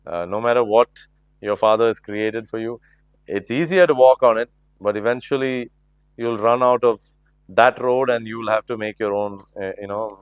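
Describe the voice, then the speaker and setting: A man's voice: lowish voice, clean tone, no echo. A man in his forties, a playback singer whose first language is Malayalam, answering interview questions reflectively with many fillers and restarts.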